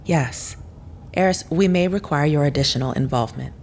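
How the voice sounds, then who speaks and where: Actress for formal, controlled investigator woman in audio drama. Deep female register, careful wording, slight background noise. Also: a little mellow. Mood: serious, probing. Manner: measured, formal, exact.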